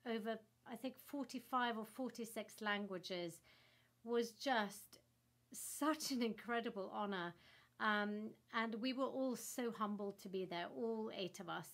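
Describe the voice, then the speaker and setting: A woman in her thirties from Norway, a native Norwegian speaker, answering interview questions eagerly over a webcam video call from home. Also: mid-range voice. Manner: enthusiastic, animated with hand gestures. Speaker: actress guest. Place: home room in front of a floral wall. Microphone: laptop webcam on a video call.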